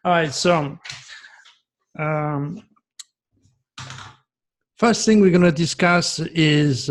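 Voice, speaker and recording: A man's voice: a little high, darkish tone. A man with a French accent, a native French speaker, hosting his own astrology video in a rambling solo talk, often stopping to say okay. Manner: chatty, repetitive, checks in with okay. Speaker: astrologer host.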